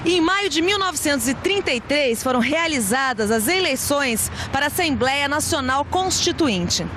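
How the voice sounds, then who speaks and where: A woman's voice: high-pitched, animated, moderate pace. A woman with a Brazilian accent reading a scripted historical documentary narration.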